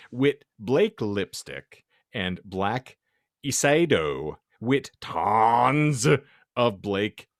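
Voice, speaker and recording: Slow, wildly swooping man reading theatrically. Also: medium pitch, no echo, studio quality. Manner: theatrical delivery, often breaking into laughter. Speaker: podcast host. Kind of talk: comic dramatic reading of misspelled fan fiction.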